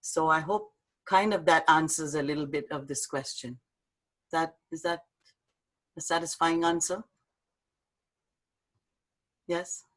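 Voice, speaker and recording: Woman speaker on a video call, gentle and halting, reads her poem, then answers questions. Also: low voice, fairly even intonation. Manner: soft, halting, warm storytelling with fillers. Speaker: speaker at an online talk.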